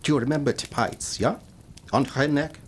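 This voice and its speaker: An actor playing a learned, grave Dutch professor in an audio horror drama, speaking deliberately and cryptically in unidiomatic English. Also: male voice, medium pitch, breathy edge, close to an everyday voice. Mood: urgent. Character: learned, grave foreign professor who hunts vampires.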